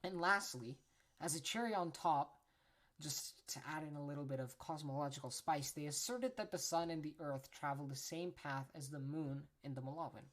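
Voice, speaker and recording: A woman's voice: low voice, moderate pace. A woman hosting a casual educational podcast, chatty and informal, often tagging her points with "right?", correcting herself, and apologizing for her pronunciation. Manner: chatty, tags lines with "right?", frequent self-corrections. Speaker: podcast host.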